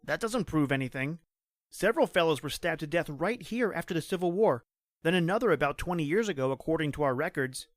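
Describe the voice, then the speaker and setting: An actor playing a brash, practical young police trooper in an old-time radio drama, with clipped colloquial delivery. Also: male voice, a little high, animated, fairly quick, no echo, natural voice. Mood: teasing, dismissive.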